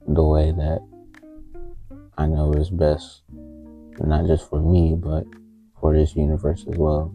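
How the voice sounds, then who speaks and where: A man recording a heartfelt, halting confessional vlog on a handheld phone in a small closet, with a TV faintly audible from the next room. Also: rumbling low, muffled recording. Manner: halting, heartfelt, stops often to find words. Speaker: vlogger. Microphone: handheld phone camera. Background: faint TV music from the next room.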